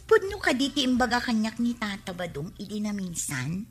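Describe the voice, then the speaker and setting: An actress playing a coy, forthright young village maiden in an Ilocano comedy radio drama, with an Ilocano accent. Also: female voice, mid-range voice, sing-song, normal speed, slightly rough, faint music behind. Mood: probing, coy.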